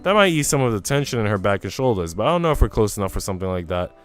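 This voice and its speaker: A man's voice: on the low side, sing-song, very fast, clean tone. A man streaming a visual novel, moving between story narration and casual chat with viewers. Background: soft background music.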